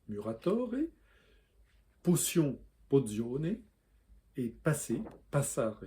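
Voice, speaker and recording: A man talks straight to camera, explaining how words are spelled. His voice is mellow and warm, and his pitch jumps around a lot. He stops often to spell out letters and read example words, with plenty of fillers along the way. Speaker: language columnist and video host.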